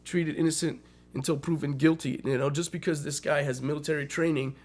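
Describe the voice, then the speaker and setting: Man, radio co-host, gravelly, slightly high voice, hoarse, profane ranting. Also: fairly even intonation, brisk. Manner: ranting, profane, flares up loud when laughed at. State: a little hoarse. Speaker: podcast co-host. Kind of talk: casual radio chat.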